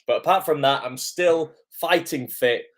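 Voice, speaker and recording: A man with a hoarse, croaky voice from illness, hosting a casual livestream as an acting coach, greeting viewers and filling pauses with "um". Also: fairly high pitch. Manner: chatty, lots of "um" fillers, greets viewers by name. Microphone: camera microphone.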